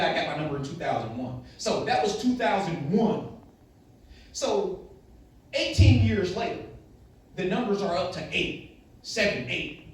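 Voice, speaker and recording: High, animated man in an echoey room. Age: late 30s. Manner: blunt, emphatic, asks rhetorical questions. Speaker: motivational speaker to youth. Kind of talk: candid personal talk. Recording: very echoey room, far from mic, faint noise.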